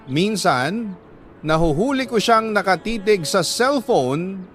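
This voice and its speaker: A man reading a dramatic scripted story in a solemn, measured way, pausing for emphasis. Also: a little high, a bit bright. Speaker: narrator reading a listener's letter.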